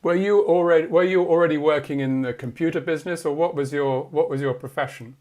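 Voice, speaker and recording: A man's voice: fairly high pitch, fast, darkish tone. A man interviewing a guest, prompting and rephrasing his questions in a conversational way.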